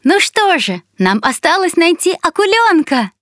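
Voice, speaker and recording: A woman's voice: very high voice, very animated, moderate pace, background noise. A woman voicing a bubbly, curious little fox hero in a children's cartoon. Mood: excited. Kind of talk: children's cartoon narration and dialogue. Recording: music behind the voice.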